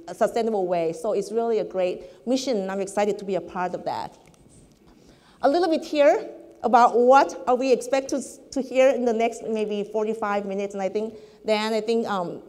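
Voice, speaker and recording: A woman from Thailand speaks with a Thai accent, her voice sitting a little high as she delivers a conference keynote. She keeps the tone friendly and jokes at her own expense. The sound carries the long reverb of a large event hall. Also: a little mellow. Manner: friendly, joking, self-deprecating humor. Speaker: keynote speaker, corporate economist.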